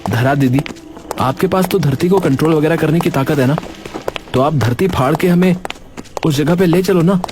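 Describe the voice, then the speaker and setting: A man voicing a cheeky, excitable young brother who banters and whines casually in a cartoon story. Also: fairly high pitch, moderate pace, background noise, pitched above the performer's own voice, natural voice. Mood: pleading.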